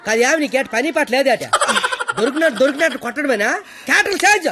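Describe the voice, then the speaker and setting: A man's voice: extremely high pitch, lively intonation, racing, very noisy. A man playing a cheeky, argumentative amateur stage actor in a film comedy. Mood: exasperated. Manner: exclaiming, colloquial, argumentative. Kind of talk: Telugu film comedy acting. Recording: film audio under loud music.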